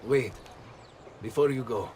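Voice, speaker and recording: A man's voice: medium pitch, unhurried, a little mellow, a little hiss or hum, close to an everyday voice. An actor playing a refined, generous nobleman in a video game. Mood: businesslike. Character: refined, generous Florentine patron, fond of antiquity. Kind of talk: cinematic video game acting.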